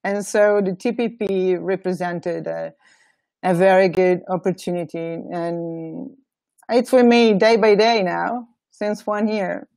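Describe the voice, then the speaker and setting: Woman, Italian accent, slow pace, dry close sound, medium pitch, hesitant informal introduction. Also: fairly even intonation, vocal fry, a little mellow, no echo. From Italy. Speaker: web and graphic designer on a nonprofit team.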